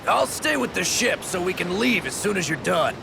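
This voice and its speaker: A man playing a seasoned, easygoing sailor in a video game, with a gravelly voice and folksy, confident talk. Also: high voice, big pitch swings, normal speed, background noise, exaggerated voice. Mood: matter-of-fact. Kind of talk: scripted video game voice acting.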